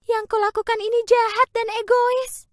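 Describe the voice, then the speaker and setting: Woman doing a high tender young beauty. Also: flat delivery, normal speed, no room sound, clean studio sound. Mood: remorseful. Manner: emotional, tearful.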